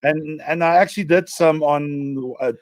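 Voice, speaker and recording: Man, a little high-pitched, rambling, dry close sound. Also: fairly even intonation, unhurried, no room sound. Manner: rambling storyteller, hesitant fillers. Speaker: co-host. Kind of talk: casual interview chat.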